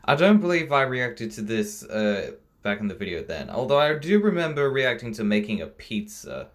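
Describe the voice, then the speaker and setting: A man's voice: mid-range voice, big pitch swings, moderate pace, a bit bright. A man hosting a reaction video, talking casually in a hesitant, rambling way about what he watches.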